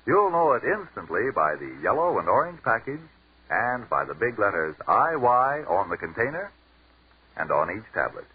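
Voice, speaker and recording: A man's voice: on the low side, very animated, moderate pace, phone-quality sound, background noise. A man announcing and reading ads on a 1940s radio broadcast in an emphatic sales cadence.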